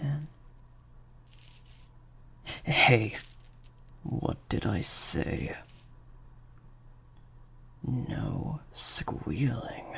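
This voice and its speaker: A man acts out a sadistic vampire master in an intimate audio roleplay. His voice is gravelly with heavy fry and often sinks toward a whisper. He speaks slowly and deliberately, coldly menacing and in full control of his captive. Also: medium pitch. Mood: disapproving, menacing. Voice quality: breathy, creaky half-whisper. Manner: slow, deliberate, hushed delivery with drawn-out pauses. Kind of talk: intimate improvised audio roleplay addressed to the listener.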